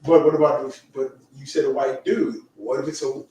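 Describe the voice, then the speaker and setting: A man's voice: medium pitch, fairly even intonation, mellow. A man who talks in loose, teasing asides, ribbing his company and drifting between topics. He sits back from the microphone, so his voice sounds distant. The room adds a heavy echo. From Caribbean. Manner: teasing, talkative, quick asides. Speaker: livestream host. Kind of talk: casual chat. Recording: echoey room, away from mic.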